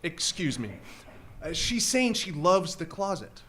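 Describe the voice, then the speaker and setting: Actor as bumbling nice husband, really high voice for a guy, bouncy, radio play. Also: unhurried, full character voice. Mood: placating. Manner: stammering, placating, excitable. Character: good-natured, bumbling, eager married male hotel guest. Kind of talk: live stage radio comedy acting.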